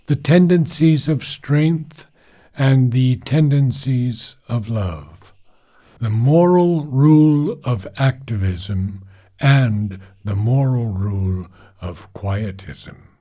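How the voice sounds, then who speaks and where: A man reading formal philosophical prose aloud in a deliberate, measured way, pausing between short phrases. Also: medium pitch, mellow. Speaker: reader of a philosophical book.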